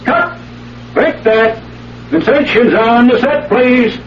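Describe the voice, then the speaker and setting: An actor playing an expressive American movie star in a theatrical 1970s radio drama. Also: male voice, very high voice, takes it slowly, roomy sound. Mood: exasperated. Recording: dull, noisy old radio recording.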